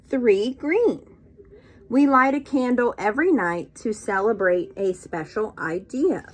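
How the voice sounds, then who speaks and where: A woman leading a children's storytime with a fairly high voice that swings widely in pitch. She reads very slowly and expressively, in a sing-song, encouraging teacher's manner, inviting little listeners to join in. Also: a little hiss or hum. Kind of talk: interactive read-aloud to young children.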